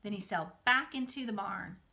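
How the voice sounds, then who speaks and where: Middle-aged woman, storybook narration, bright forward voice, expressive delivery. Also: medium pitch, brisk, vocal fry. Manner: expressive, dramatized reading. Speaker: museum educator reading aloud. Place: small room by a window, indoors.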